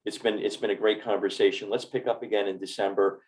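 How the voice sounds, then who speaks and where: A man who is a doctor hosts a health podcast in a fairly low voice. He talks with warm enthusiasm, stumbling over repeated words as he wraps up the conversation. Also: steady tone, fast, low-quality recording. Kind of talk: casual interview talk.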